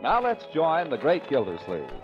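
A man's voice: on the high side, wildly swooping pitch, normal speed. A man announcing a scripted radio show in a 1940s style. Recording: muffled 1940s radio recording, music behind. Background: loud music.

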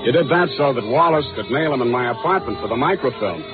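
An actor playing a tough, wisecracking private detective in a brusque, slangy 1940s radio style. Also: male voice, mid-range voice, animated, fast, close to an everyday voice. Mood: mocking, confident. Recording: muffled old radio recording with music.

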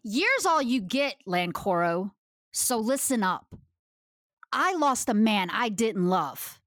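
A woman's voice: fairly high pitch, very animated, slow, fairly bright tone, no echo, studio quality, full character voice. A woman playing a tough, blunt, regretful woman of the space underworld, confrontational, in a sci-fi audio drama. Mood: hard, regretful.

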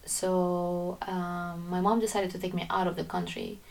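A woman's voice: on the low side, flat delivery, measured pace, creaky, far from the mic. A young woman from Syria, candidly and reflectively telling her life story as a podcast guest, sometimes restarting her sentences. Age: young adult. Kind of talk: personal storytelling interview.

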